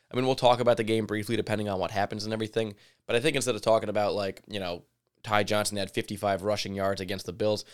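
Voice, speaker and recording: A man hosting a casual solo podcast, chatty and excitable, his voice a little raspy from yelling. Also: lowish voice. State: slightly raspy from yelling at a game. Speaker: podcast host. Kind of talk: solo sports podcast talk.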